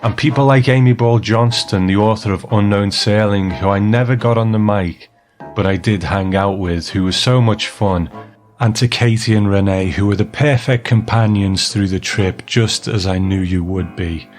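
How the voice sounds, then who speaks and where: A man with a low voice narrates as a podcast host. His delivery is measured and appreciative, like prepared remarks, with music clearly playing underneath.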